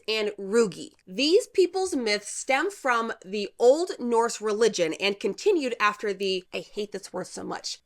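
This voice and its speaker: A woman's voice: fairly high pitch, fairly bright tone. A young woman hosting her channel, chatty and playful, laughing often, with a congested nose. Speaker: YouTube host. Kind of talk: casual chatty storytelling vlog. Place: home room with humidifier.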